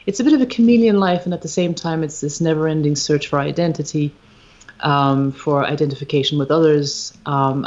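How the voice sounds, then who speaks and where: A woman with an Irish accent, a musician being interviewed on a podcast, speaking reflectively and searching for her words with frequent pauses and ums. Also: low voice, darkish tone. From Ireland. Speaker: guest, musician and retreat founder. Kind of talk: podcast interview, thoughtful conversation. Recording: slightly dull, lo-fi livestream audio.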